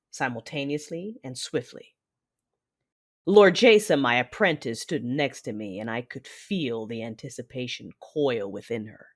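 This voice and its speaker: A woman playing a commanding, calculating warlord mother, giving measured first-person narration in an audio drama. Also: a bass voice, husky, exaggerated voice. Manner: measured, imperious.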